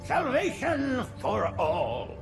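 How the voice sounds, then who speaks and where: An actor playing a fervent old alien prophet in a sci-fi game, preaching in a lofty, theatrical way. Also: male voice, very high-pitched, wildly swooping pitch, drawn-out words, creaky, over music, exaggerated voice. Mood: rapturous. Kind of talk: cinematic sci-fi game acting.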